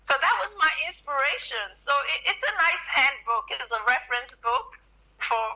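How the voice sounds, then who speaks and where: A woman from Nigeria with a Nigerian accent softened by American speech, a radio guest talking earnestly and often repeating words as she starts. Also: high-pitched, sing-song, normal speed. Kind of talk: radio interview by phone. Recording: tinny phone line.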